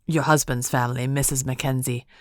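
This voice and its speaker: A man's voice: mid-range voice, flat delivery, brisk, no echo, studio quality, pitched below the performer's own voice, lightly acted. A woman voicing an indignant, threatening male servant who barks his words. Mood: barking. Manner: barking, brusque.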